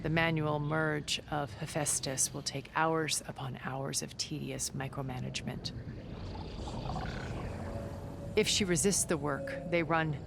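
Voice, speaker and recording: Video game schemer: middle-aged, deep gravelly register, formal, persuasive, coolly wry, slight background noise. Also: female voice, steady tone, normal speed, hardly any pauses. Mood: clinical. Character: cool, calculating woman schemer, haughty and persuasive. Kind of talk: dramatic video game cutscene acting.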